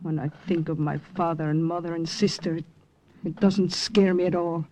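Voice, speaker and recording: An actress playing a brave, wary young woman recruit in a 1940s wartime radio drama, with earnest, halting, dramatic delivery. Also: lowish voice, steady tone, warm tone, a little hiss or hum, close to an everyday voice. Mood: resolute. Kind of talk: 1940s radio acting and first-person narration. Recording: old radio drama with music.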